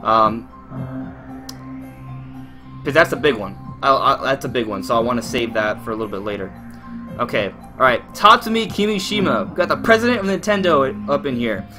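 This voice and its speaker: A young man in his twenties from Miami chats loosely on a live stream, doubling back on his words and talking straight to his viewers. His voice sits in an ordinary middle pitch. Faint music plays softly under him. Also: big pitch swings, moderate pace. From Miami, Florida, USA. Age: 20s. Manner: rambling and chatty, many fillers and restarts, addresses chat directly. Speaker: YouTuber hosting a live Q&A stream. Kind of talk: casual live-stream chat.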